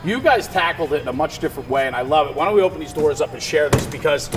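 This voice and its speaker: A man's voice: on the high side, animated, fast talker. An American man hosting an on-location interview, enthusiastic and affirming as he guides the tour. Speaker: host, interviewer. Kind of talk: on-location interview for a video series. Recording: music clearly behind the voice.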